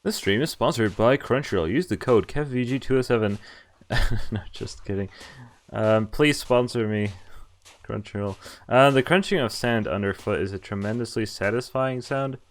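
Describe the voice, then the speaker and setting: A man's voice: medium pitch, moderate pace. A young man live-streaming a game, switching between reading narration aloud and casual, jokey, hesitant asides. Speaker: streamer playing and reading a visual novel. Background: game music.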